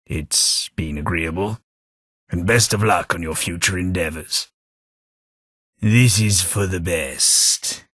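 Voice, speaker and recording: An actor playing a polite, reserved former office clerk in a game, speaking with formal, measured, slightly wistful courtesy. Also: male voice, low voice, a bit bright, slightly rough, no room sound, studio quality, full character voice. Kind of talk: game character dialogue.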